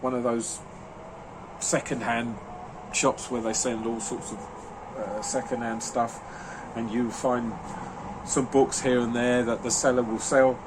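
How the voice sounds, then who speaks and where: A man's voice: medium pitch, flat delivery, a little slow, long pauses, noisy background. Rambling man reviewing books. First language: German. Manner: rambling, many fillers and restarts. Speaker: book reviewer. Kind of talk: casual video monologue.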